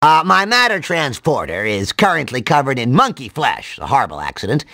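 Nerdy cartoon mad scientist, piercing nasal tone, creaky edge, excitable rambling, video game acting. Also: male voice, medium pitch, brisk, no echo, clean studio sound, exaggerated voice. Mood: eager rambling. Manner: rambling scientific jargon with nonsense exclamations.

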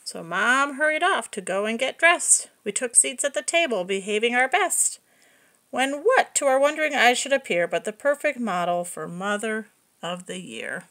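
Bright sounding lady doing storytime for little kids, expressive, keeps it simple. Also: on the high side, normal speed. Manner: expressive, explains words simply to children. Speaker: preschool story reader. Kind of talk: read-aloud storytelling for young children.

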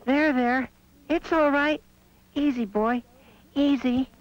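A very high, youthful voice a young peasant boy in a fairy-tale cartoon. The boy is earnest and gentle. The sound is slightly muffled and lo-fi. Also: steady tone, slow pace, a little hiss or hum. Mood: soothing.

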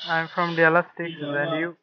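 Young man on a headset, pitched a bit high. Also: flat delivery, highs cut off, slight background noise. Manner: pretends to be from Texas, slow simple questions. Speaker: prank video host. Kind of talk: casual video chat. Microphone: gaming headset.

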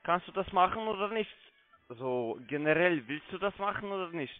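Male telesales agent, non-native speaker, high-pitched, blunt and pressing, muffled telephone sound. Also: animated, normal speed, no echo, faint music behind. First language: not German. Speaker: phone sales agent. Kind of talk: pushy phone sales call. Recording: muffled phone line.

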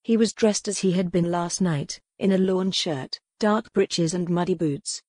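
A woman's voice: on the low side, unhurried, darkish tone, no room sound, studio quality. A woman narrating a historical romance audiobook as scripted dramatic storytelling.

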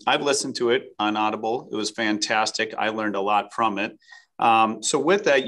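A man in his thirties acts as interviewer on a video call from an office. His voice is fairly low with a bright, forceful edge, and he asks questions in a casual way. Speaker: guest interviewer.

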